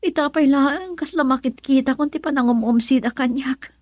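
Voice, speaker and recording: A woman's voice: high voice, moderate pace, no room sound, close to an everyday voice. An actress playing a timid, ashamed young woman in a Filipino radio drama, with a Filipino accent. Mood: ashamed, anxious.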